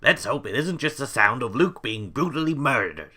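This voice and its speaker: Excitable, piercingly bright mad scientist. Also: male voice, medium pitch, normal speed, breathy edge. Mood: excited. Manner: melodramatic, theatrical. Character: flippant, callous, excitable male mad scientist boss. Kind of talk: comedic audio drama acting.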